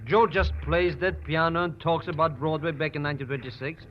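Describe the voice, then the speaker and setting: An actor playing a wheedling, shifty little schemer in 1940s radio style. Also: male voice, on the high side, fairly even intonation, fairly quick, a little hiss or hum, close to an everyday voice. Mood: chatty, complaining. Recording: dull old radio recording, music behind.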